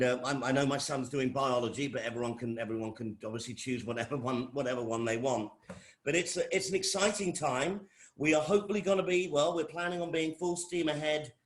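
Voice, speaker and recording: Rambling middle-aged Englishman. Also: medium pitch. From England. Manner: rambling, repeats phrases and restarts sentences. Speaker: college lecturer hosting an information session. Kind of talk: informal welcome talk to prospective students.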